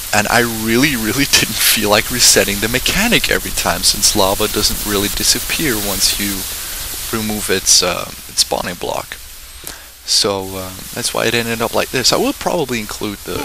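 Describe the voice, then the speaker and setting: A young man from Switzerland, giving casual commentary over his own gameplay and rambling with frequent pauses. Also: lowish voice, bright, forceful tone, a little hiss or hum, poor audio. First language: German. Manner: rambling, many ums and restarts. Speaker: game video creator.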